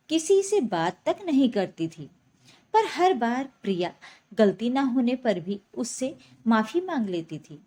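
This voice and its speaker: A woman's voice: sits high, dark tone, slightly rough. A woman telling a scripted domestic story as a dramatic narrator.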